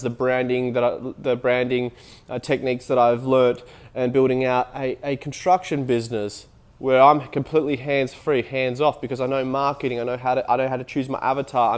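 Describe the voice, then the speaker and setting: A man with a stuffy head cold talks straight to camera, his voice nasal and congested. He rambles in an easy, motivational way, often restarting his sentences mid-thought. His pitch sits in an ordinary middle range. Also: smooth. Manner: rambling, with frequent restarts and fillers. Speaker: online business vlogger. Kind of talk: casual motivational talk to camera.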